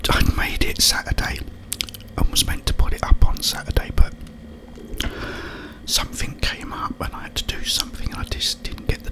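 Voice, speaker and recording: Whispering man, ASMR ramble. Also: low-quality recording. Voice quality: breathy unvoiced whisper. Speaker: ASMR video host.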